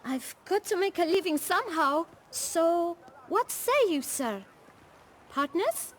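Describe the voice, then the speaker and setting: Woman as very high-pitched cheeky street boy. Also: animated, takes it slowly, a little hiss or hum, exaggerated voice. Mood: pleading, keen. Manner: glib, eager, mock-polite. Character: cheeky young bootblack boy, wily street hustler. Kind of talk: video game cutscene acting.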